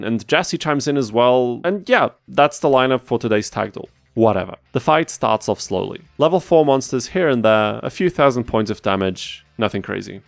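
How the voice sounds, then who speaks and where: A man hosts a scripted gameplay commentary in a medium-pitched voice. His delivery is casual and wry, dropping into mock-exasperated asides. The sound is dry, with no room around it. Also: no echo. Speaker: gaming video host.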